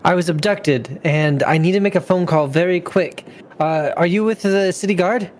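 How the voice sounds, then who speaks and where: A man's voice: sits high, moderate pace, a little hiss or hum, pitched above the performer's own voice, close to an everyday voice. A man playing a ragged, demanding woman who poses as an abducted damsel in a tabletop game. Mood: urgent, demanding. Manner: demanding, urgent, polite phrasing.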